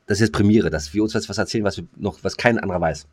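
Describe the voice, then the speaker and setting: A man with a fairly low voice and a very quick tongue, speaking with a German accent. He banters casually like a podcast co-host, blunt, slangy and full of teasing. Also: darkish tone.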